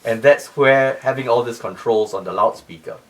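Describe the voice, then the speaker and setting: A man's voice: mid-range voice, normal speed, far from the mic, low-quality recording. A man being interviewed as an expert guest, explaining technical audio design emphatically and repeating key phrases. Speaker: loudspeaker company CEO, interview guest.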